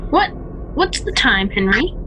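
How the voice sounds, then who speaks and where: A woman's voice: fairly high pitch, sing-song, a little slow, pushed and bright, slight background noise, exaggerated voice. A woman playing an anxious suburban mother who hides her unease, in a hesitant, nervous manner, in an amateur radio drama. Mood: nervous, evasive.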